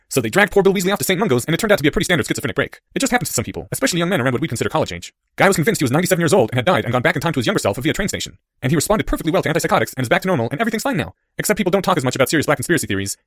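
A clever schoolboy in a storybook, played by a male narrator, eager and wordy. His raised voice rattles along very quickly and swings animatedly as he explains. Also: fairly high pitch, very animated, a bit bright, no room sound, clean studio sound, pitched above the performer's own voice. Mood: gleeful storytelling. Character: bright, excitable, nerdy schoolboy explainer.

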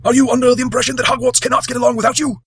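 A man voicing a stern old male headmaster wizard in an audiobook reading, with a high, breathy elderly tone. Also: flat delivery, rapid-fire, a bit bright, dry recording, studio quality, heavily acted. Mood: cold.

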